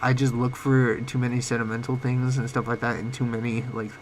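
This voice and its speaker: A man's voice: mid-range voice, flat delivery, slight background noise, poor audio. Sick young man rambling late at night. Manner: rambling, halting, many fillers. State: sick, voice cracking. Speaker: vlogger. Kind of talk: late-night personal talk. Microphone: home microphone, uneven volume.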